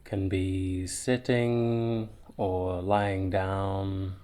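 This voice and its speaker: A man leading a guided visualization in a soothing, meditative way, leaving long pauses between short phrases. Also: sits low, flat delivery, warm tone, clean tone.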